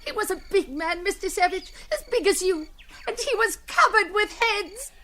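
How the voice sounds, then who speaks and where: A woman's voice: very high voice, expressive, moderate pace, sharp tone, exaggerated voice. A woman playing a frightened young woman in an old-time radio adventure, breathless, distraught and melodramatic. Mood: horrified, shaken. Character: frightened young woman searching a jungle for her missing father. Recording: vintage radio recording with faint noise.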